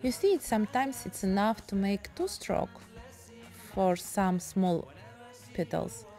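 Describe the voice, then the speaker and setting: A woman's voice: mid-range voice, sing-song, takes it slowly, mellow, soft background music. A woman with a Russian accent chatting in broken English while demonstrating a painting technique. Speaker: painting tutorial host.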